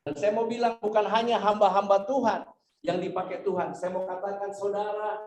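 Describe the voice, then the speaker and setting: Male preacher, high voice, exhortative and repetitive, charismatic church sermon, roomy echo. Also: little pitch movement, fairly quick, darkish tone. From Indonesia. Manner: exhortative, repeats phrases and calls for amens. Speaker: guest preacher, pastor. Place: church service room, before a congregation. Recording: echoey room, distant microphone.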